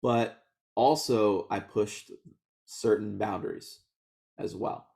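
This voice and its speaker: Gravelly-voiced man telling his story. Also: fairly low pitch, steady tone, slow, darkish tone. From Houston, Texas. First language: Vietnamese. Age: 30s. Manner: laughs often, many restarts and fillers. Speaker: oral history interviewee. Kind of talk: relaxed conversational storytelling.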